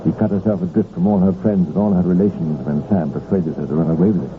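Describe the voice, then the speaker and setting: Low-voiced man narrating vintage crime radio. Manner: measured, formal storytelling. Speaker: narrator and host. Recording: tinny, noisy 1950s radio recording.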